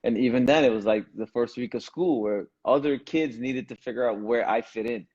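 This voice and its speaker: A man's voice: mid-range voice, fairly quick, darkish tone, husky. Interviewer: man from Canada, mid-range voice, easygoing listener, dull lo-fi recording. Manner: brief interjections, supportive listener. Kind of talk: casual interview chat. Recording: dull lo-fi sound.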